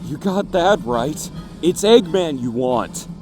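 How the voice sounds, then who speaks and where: A man voicing a hot-headed, brawny cartoon echidna hero, fierce and earnest, with a forceful, emphatic delivery. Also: a little high, expressive, slow. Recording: music over the voice.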